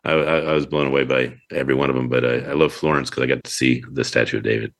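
A man's voice: a bass voice, steady tone, racing, fairly bright tone. A man hosting a casual interview show, chatty and expansive. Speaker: show host.

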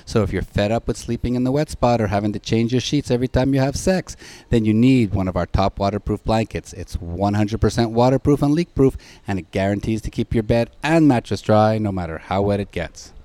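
A man with a lowish, mellow voice co-hosts a podcast. He talks in an enthusiastic, salesy way and sounds excited to bring on his guests. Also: a little fast, hardly any pauses, dry recording. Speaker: co-host. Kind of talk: podcast hosting and ad read.

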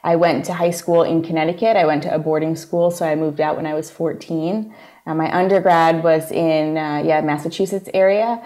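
A woman with a New York accent, a guest psychologist explaining her field in a relaxed interview. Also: low voice, moderate pace. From New York area, USA. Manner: explanatory, steady, plain-spoken. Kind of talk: casual interview conversation.

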